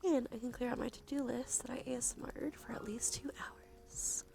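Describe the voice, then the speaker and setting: A woman hosting a cozy ASMR livestream, chatting casually in a soft, breathy, often half-whispered voice with a congested nose, in a laid-back, rambling way. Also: a little high, fairly even intonation, moderate pace. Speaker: livestream host. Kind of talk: cozy ASMR stream, casual chat to viewers. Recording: clear background noise, ambient sound.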